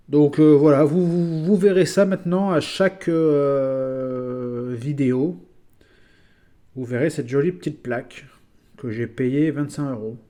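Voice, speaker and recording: A man from France talks casually through his collection of toy vehicles. He hesitates often and fills gaps with small sounds. His voice sits a little high and sounds warm and mellow. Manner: chatty, hesitant with many fillers. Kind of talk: casual video presentation.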